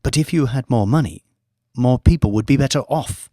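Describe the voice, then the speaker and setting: Casting: male narrator as smooth, pushy negotiator, medium pitch, earnest persuasion for a thriller audiobook. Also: a little fast, warm tone, no echo, clean studio sound, close to an everyday voice. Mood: reasoning, warning.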